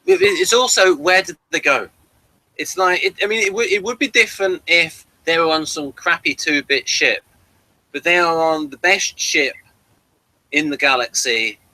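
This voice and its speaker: A man's voice: a little high, moderate pace, pushed and bright, no room sound, poor audio. A man hosting a casual review discussion of a TV series, emphatic and given to repeating his words.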